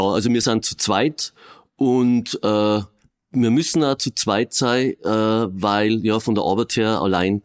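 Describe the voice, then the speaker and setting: A man in his late fifties from Bavaria with a Bavarian accent, chatting casually as a police officer guest on a podcast. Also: on the low side. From Regensburg, Bavaria. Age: late 50s. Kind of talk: casual, chatty interview answers.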